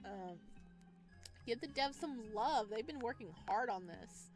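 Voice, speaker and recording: Female gaming creator, higher-pitched, casual and excitable, reacting and reading aloud over light music. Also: a little slow. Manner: expressive, excitable. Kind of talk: casual let's play commentary and read-aloud.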